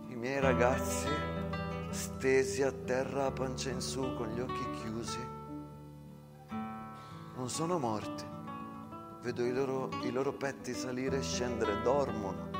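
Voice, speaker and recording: A man narrating a theatrical monologue in short, measured phrases with dramatic pauses. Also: mid-range voice. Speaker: actor-storyteller. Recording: long reverb, loud guitar music behind. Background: plucked guitar music.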